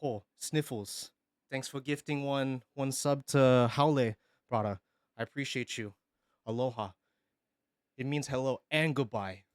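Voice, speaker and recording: A man's voice: mid-range voice, unhurried, clean tone, no echo, clean studio sound. A man streaming casually, thanking viewers and joking around. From Hawaii. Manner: goofy, joking, slips into singing.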